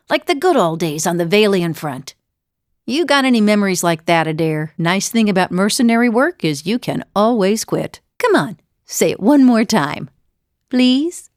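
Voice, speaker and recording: A woman's voice: on the low side, dry recording, clean studio sound, lightly acted. A woman playing a weathered, good-humored mercenary in a video game, speaking in a wry, plainspoken, reflective way. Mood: wry, playful. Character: weathered, world-weary but good-humored female mercenary seeking forgiveness. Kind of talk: scripted video game character dialogue. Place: dry studio voice recording.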